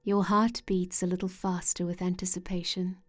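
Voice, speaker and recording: A woman leading a scripted guided meditation in a gentle, soothing way with measured pauses. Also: lowish voice, level tone. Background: soft meditation music with singing-bowl tones.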